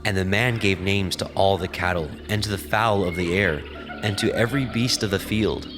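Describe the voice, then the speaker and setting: A man narrating scripture in a steady, reverent reading. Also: low voice, moderate pace. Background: background music.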